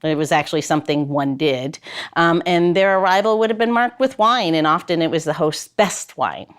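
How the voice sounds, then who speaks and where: A woman's voice: low voice, lively intonation, moderate pace, smooth. A woman hosting a podcast in a chatty, playful way, moving between banter and loosely scripted segments. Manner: playful, prone to chuckling. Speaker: podcast host.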